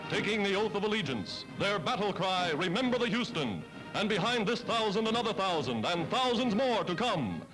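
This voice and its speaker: A man's voice: high voice, very animated, normal speed, rough recording. An American man narrating a scripted 1940s wartime newsreel in an emphatic, declamatory manner, on a vintage soundtrack. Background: loud-ish music under the voice.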